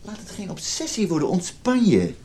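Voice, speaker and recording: Animated, evasive, impatient lover. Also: male voice, sits high, moderate pace. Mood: irritated. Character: evasive, impatient lover who dislikes pressure. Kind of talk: radio drama acting.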